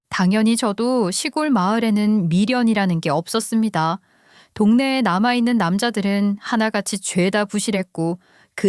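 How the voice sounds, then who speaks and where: A woman's voice: mid-range voice, darkish tone, no echo. Woman narrating a story in a dry studio. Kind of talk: scripted first-person story narration.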